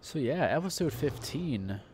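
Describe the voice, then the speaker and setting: A man's voice: mid-range voice, very animated, measured pace, a little hiss or hum. A man hosting a casual let's play, chatting in a laid-back way with jokey asides. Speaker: gaming channel host. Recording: loud game music behind voice.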